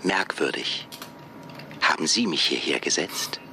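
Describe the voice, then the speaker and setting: An actor in a radio drama playing an unflappable AI system that asks formal, pointed questions as a job applicant. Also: male voice, extremely low pitch, lively intonation, measured pace, creaky, pushed and bright, a little hiss or hum, heavily acted. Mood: probing, composed.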